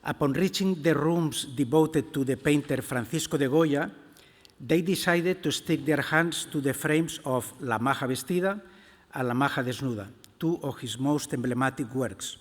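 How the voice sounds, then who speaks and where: An older man with a Spanish accent giving a conference keynote, reading slowly and deliberately with frequent hesitations. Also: on the high side. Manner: slow and deliberate, with many hesitations. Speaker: keynote speaker. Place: large conference hall. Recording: echoey conference hall.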